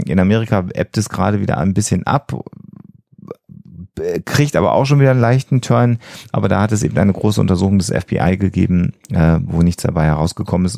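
A man's voice: low-pitched, creaky, mellow, dry recording, clean studio sound. A man, co-hosting a podcast and explaining things in an earnest, emphatic way. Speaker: podcast co-host.

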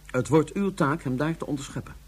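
An actor playing a composed, calculating ruler on Mars who speaks formally and deliberately, with small hesitations, in a radio drama. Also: male voice, fairly high pitch, darkish tone, husky. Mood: calm, scheming. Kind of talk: Dutch science fiction radio drama acting.

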